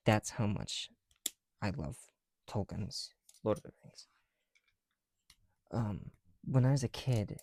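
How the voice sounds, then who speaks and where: A soft-spoken man chatting casually in an ASMR style, often dropping into whispers, with a creaky voice and a rambling, halting delivery, close to the mic. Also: medium pitch, no echo. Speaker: ASMR video creator. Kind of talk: casual ASMR chat while building bricks.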